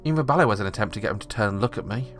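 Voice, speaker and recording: A man's voice: lowish voice, expressive, rapid-fire, fairly bright tone, soft background music. A man reading the narration of a visual novel aloud, steadily, with small slips.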